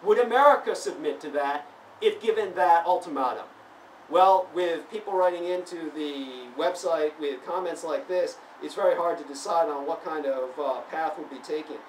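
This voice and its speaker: A man's voice: a little high, normal speed. A man born in Taiwan giving a lecturing monologue that turns heated and emphatic as he answers critics at a convention. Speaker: interviewee. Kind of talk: monologue answering online comments. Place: convention venue. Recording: roomy, distant mic, faint background noise.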